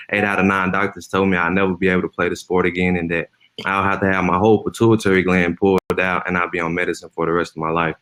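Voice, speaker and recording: A man's voice: sits low, fairly bright tone. A young man speaking as a panelist, a former pro football player introducing himself with earnest, run-on storytelling.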